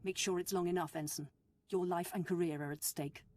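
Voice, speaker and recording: A woman playing a brisk, steely imperial warship officer in a video game, clipped and commanding. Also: lowish voice, fairly even intonation, darkish tone, lightly acted. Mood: urgent, commanding. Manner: clipped, commanding, deferential to superiors.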